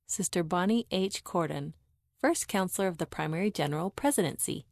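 A woman reading short quotes and credits from a church magazine in a calm, clear manner. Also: fairly low pitch, fairly quick, dry recording, clean studio sound. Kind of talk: scripted narration.

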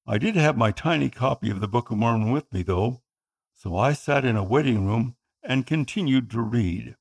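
Man, Tagalog speaker, slightly gravelly, earnest narrator for a scripted personal story. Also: mid-range voice, animated. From Philippines. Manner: earnest, measured reading.

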